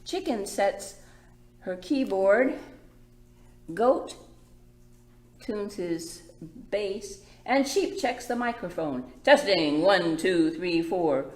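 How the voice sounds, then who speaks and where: Slow, lively woman hosting children's storytime. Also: medium pitch. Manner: simple, demonstrative phrasing for small children. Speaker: children's librarian, storytime host. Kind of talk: children's storytime presenting and read-aloud. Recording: echoey room.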